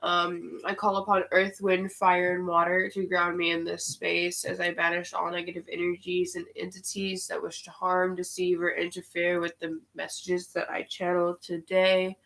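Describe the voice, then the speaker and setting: A woman with a cold and a nasal voice, unhurried and full of "um" fillers, giving spiritual guidance and leading a meditation on a live stream. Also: on the low side, monotone, creaky, fairly bright tone, poor audio. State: sick with a cold.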